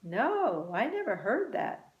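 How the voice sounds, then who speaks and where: A woman's voice: a little high, big pitch swings, takes it slowly, highs cut off, voice pushed up. A woman voicing a naive young storybook boy, easily startled and eager, with a hesitant, exclaiming delivery. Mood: innocent. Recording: roomy, echoing room sound.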